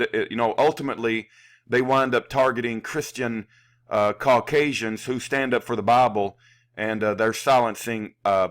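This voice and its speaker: A man hosting an unscripted political commentary, indignant and insulting, halting and repeating himself as he goes. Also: mid-range voice, fairly bright tone. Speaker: video host, activist commentator.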